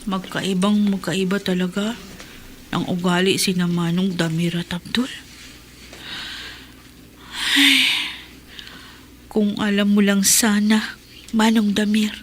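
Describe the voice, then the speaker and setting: A woman's voice: mid-range voice, fairly even intonation, slow pace, pauses often, fairly bright tone, slight background noise. An actress in a radio drama playing an anxious young married woman who pleads with her husband. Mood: uneasy. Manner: pleading, uneasy.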